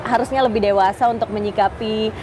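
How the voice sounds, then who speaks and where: Late-20s woman talking earnest and measured, some noise behind. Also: a little high, fairly even intonation. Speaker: interviewee, TV presenter and PR officer. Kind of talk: personal testimony interview.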